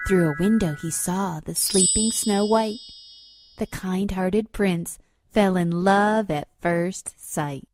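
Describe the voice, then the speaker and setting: A woman storyteller giving a scripted, rhyming fairy-tale narration for children. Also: medium pitch. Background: loud music with chimes.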